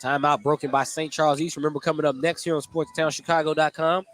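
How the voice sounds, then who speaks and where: A man's voice: fairly high pitch, level tone, fast talker, faint music behind. A man calling live basketball play-by-play as a sports announcer from a gym. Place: indoor school basketball gym. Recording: noisy gym background.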